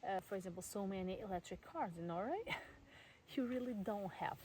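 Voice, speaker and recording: A young woman from Portugal with a Portuguese accent, talking casually to camera in her garden, with many fillers and soft laughs. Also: medium pitch, moderate pace, a little hiss or hum. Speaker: vlogger. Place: garden at home in the countryside, outdoors.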